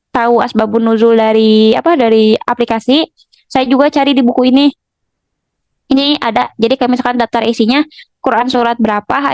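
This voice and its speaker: Lady teacher, highish voice, explaining stuff conversationally with lots of little tag words, dry sound no echo. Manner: conversational, often seeking agreement with small tag words. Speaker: teacher of an online Quran journaling class. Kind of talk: informal lesson, explaining and recommending resources.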